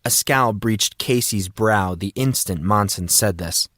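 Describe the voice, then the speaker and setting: A man's voice: fairly low pitch, a little slow, a bit bright, dry recording, clean studio sound. A man reading a story aloud as a scripted, dramatized audiobook narration.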